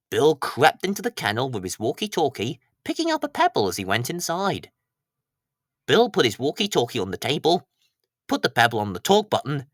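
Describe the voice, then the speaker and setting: Bright, animated male children's storyteller. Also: medium pitch, no room sound, clean studio sound. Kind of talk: scripted children's story narration.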